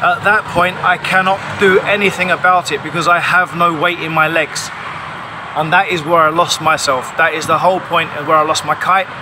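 A man's voice: on the high side, steady tone, moderate pace. A man in his forties giving an emphatic, insistent explanation to camera, recorded on a phone outdoors. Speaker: paraglider pilot vlogging. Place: outdoors under a tarpaulin canopy. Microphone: phone at arm's length. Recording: clear outdoor ambient noise.

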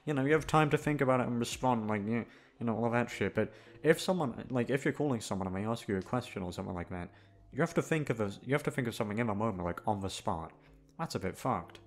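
A man's voice: medium pitch, animated, quick pace. A man chatting casually to his listeners as an ASMR creator, rambling hesitantly with self-deprecating swearing. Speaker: ASMR audio creator and host.

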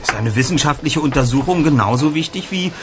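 A man's voice: a little high, animated, a little fast, noisy background, lightly acted. An actor playing a self-assured, rational teenage boy detective in a German radio play, speaking in a pompous, formal, precise way. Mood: formal, dismissive.

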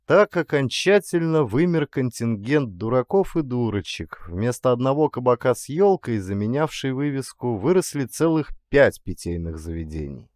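A man narrates a literary story with theatrical expression. His mid-pitched voice swings widely in melody, and the sound is dry, with little room around it. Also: big pitch swings, moderate pace, few pauses, a little mellow, dry recording. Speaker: actor reading an audiobook.

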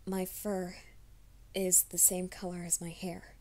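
A woman voicing a gentle, nervous young male werewolf in an ASMR roleplay, speaking softly and often in whispers. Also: fairly low pitch, little pitch movement, slow pace. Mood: soft reassuring. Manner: soft, hushed, often whispering.

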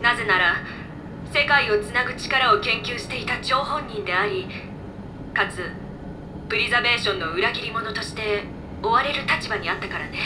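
A woman's voice: a little high, normal speed, noisy background, close to an everyday voice. A woman voicing an easygoing, knowledgeable floating robot in a video game, explaining things casually. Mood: thoughtful. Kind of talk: video game voice acting, exposition.

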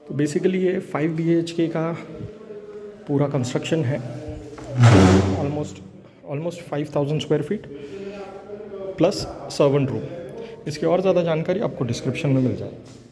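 A man's voice: a little high, moderate pace, pauses often, a crowd in the background, rough recording. A man walking through an empty house, casually pointing out each room for a property tour video. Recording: phone video with clear room noise.

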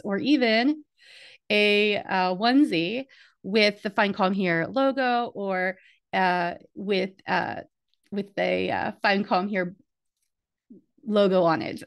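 A middle-aged woman talking casually through a screen-share of her website, with many ums and uhs and a lively, rising pitch when excited. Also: smooth. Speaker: community strategist walking through her website.

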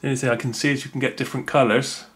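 Man, casual unboxing chat, thinking aloud, fillers and pauses, indoor tabletop. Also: mid-range voice, little pitch movement. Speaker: unboxing video host.

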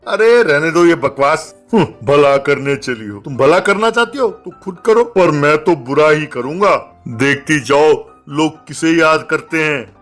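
A man plays a mischievous talking thorn tree in an animated fable. The voice is forceful and fairly high, full of spiteful glee and mockery. Music plays underneath. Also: a little slow. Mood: mocking, gleeful. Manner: mocking, gleeful, chuckling. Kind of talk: animated moral tale dubbing.

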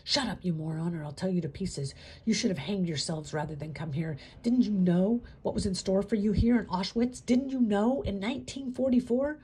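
A woman voicing a furious, bitter male prisoner who snarls and shouts. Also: medium pitch, normal speed, breathy edge, pitched above the performer's own voice.

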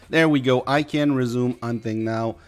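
A man's voice: medium pitch, steady tone, measured pace. Chatty man with a slight Quebec French accent. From Quebec, Canada. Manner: rambling, chatty, talks to chat. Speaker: game streamer. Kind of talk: casual gameplay commentary.

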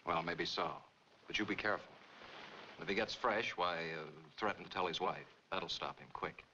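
A man's voice: low-pitched, normal speed, pushed and bright. An American actor in his thirties playing a jealous, blunt artist in 1940s film style. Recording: noisy, dull 1940s film soundtrack.

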